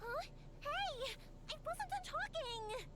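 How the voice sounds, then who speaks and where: An actress playing a cheerful, playful and excitable young woman who makes fireworks, in an anime game, bubbly and teasing. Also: extremely high pitch, slow pace, heavy background noise, heavily acted. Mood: indignant. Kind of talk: anime game character voice acting.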